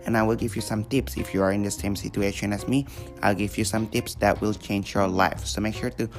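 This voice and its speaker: Teen male podcaster from Indonesia, gravelly voice, loose rambling delivery. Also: fairly low pitch, fairly even intonation, a little fast, music in the background. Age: teens. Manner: rambling, many fillers and repeated words. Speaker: podcast host. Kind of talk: casual solo podcast.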